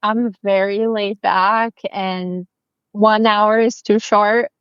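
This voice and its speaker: A woman's voice: mid-range voice, little pitch movement, slow, a bit bright, clean tone, no room sound, lo-fi sound. A woman from Brazil with a Brazilian accent, chatting in an interview about her photography work, friendly and full of fillers and restarts. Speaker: family photographer, guest. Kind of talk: casual interview chat.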